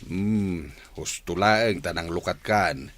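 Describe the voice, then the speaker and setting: A man's voice: low voice, takes it slowly, fairly bright tone. A man acting a concerned, easily exasperated middle-aged father in a Filipino radio drama. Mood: sympathetic, solemn.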